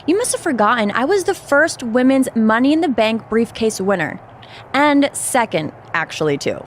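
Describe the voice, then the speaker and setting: A woman's voice: on the high side, big pitch swings, moderate pace, slight background noise. A woman voicing a cocky, mocking female wrestler villain in a video game, taunting and boastful. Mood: sneering, taunting. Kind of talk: video game cutscene acting, trash talk.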